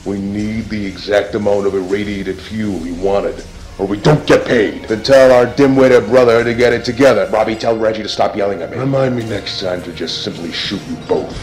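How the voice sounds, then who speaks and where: Guy doing a snappy crook, lowish voice, gruff, roomy echo, comic book drama. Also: moderate pace, a little mellow, faint music behind, close to an everyday voice. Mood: irritated. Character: irritable, bickering male armed robber.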